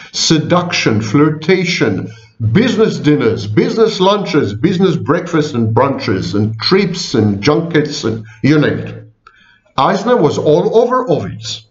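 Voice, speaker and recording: A man of sixty, a psychology professor, giving a wry, digressive informal lecture to viewers, often repeating and correcting himself. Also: fairly high pitch. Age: 60s. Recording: echoey room, dull lo-fi sound.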